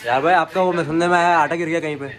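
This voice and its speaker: A man's voice: fairly high pitch, fairly even intonation, rapid-fire, noisy background. A man with a Pakistani accent casually bantering and asking teasing questions in an indoor shop. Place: busy barber salon, indoors.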